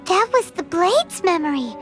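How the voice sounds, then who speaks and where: A woman voicing a tiny childlike fairy companion in a video game, curious and excitable. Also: extremely high pitch, normal speed, music in the background. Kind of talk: scripted video game dialogue.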